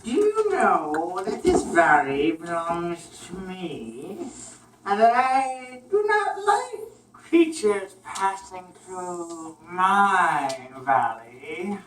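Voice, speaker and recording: A woman's voice: fairly low pitch, big pitch swings, extremely slow, full character voice. A woman voicing a huge, cold, bullying wildcat with a menacing drawl in a children's story. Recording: roomy echo, distant mic, faint noise.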